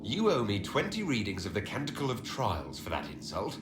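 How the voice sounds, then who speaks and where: An actor playing a commanding but merciful leader of a holy order in a fantasy game, direct and wry. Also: male voice, fairly low pitch, huge pitch swings, a little fast, noisy background. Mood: dry mock sternness. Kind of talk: dramatic fantasy game acting. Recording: roomy echo, loud background music.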